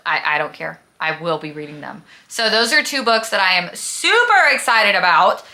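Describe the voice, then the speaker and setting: A woman's voice: on the low side, big pitch swings, normal speed, bright, forceful tone. A woman talking casually as a book vlogger, with a gushing, emphatic, dramatic and slangy manner. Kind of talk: casual chatty book review vlog.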